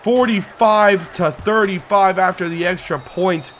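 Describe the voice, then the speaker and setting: A young man doing play-by-play commentary over a football video game, getting excited on big plays while he says he is losing his voice. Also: measured pace, a little hiss or hum. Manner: excitable, pitch climbing on big plays, yard-line countdowns. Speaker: gaming YouTuber doing play-by-play.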